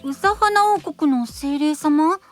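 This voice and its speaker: A woman's voice: high-pitched, slow pace, faint music behind. A woman playing a small, shy, childlike knight with a cute manner in an anime-style voice drama. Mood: timid, curious. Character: small, shy, childlike knight who hides behind others.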